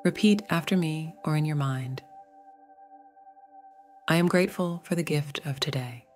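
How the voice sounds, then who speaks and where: Low-pitched woman guiding a meditation. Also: soft background music. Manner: soothing, measured, gentle delivery. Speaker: meditation guide. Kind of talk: scripted guided meditation narration.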